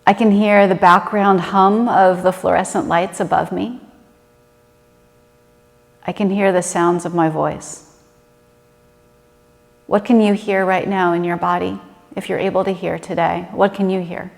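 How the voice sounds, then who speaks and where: A woman's voice: fairly low pitch, steady tone, creaky. Woman guiding meditation in a reverberant room. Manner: gentle, unhurried, conversational instruction. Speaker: meditation guide. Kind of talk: guided meditation. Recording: reverberant room with long echo.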